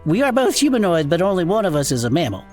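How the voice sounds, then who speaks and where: A performer playing an irreverent, excitable non-human cryptid podcaster in a comedic audio drama, talking in folksy slang. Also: medium pitch, fast, soft background music. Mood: irreverent indignant. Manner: folksy slangy casual speech, chuckling. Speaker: co-host of a cryptid discussion show. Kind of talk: improvised comedic audio fiction banter.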